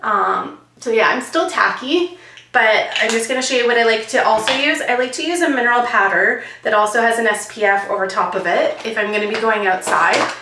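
A woman in her thirties with a slightly froggy, hoarse voice, chatting and joking through a beauty product review in a small bedroom. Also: fairly high pitch, brisk, noisy background. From Canada. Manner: chatty and jokey, demonstrating while talking. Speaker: beauty vlogger. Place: small bright bedroom, indoors. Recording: roomy, slightly distant camera sound.

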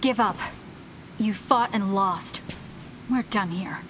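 An actress playing a firm, direct young heroine fighter in an anime-style video game. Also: female voice, medium pitch, takes it slowly, fairly bright tone, a little hiss or hum. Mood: stern.

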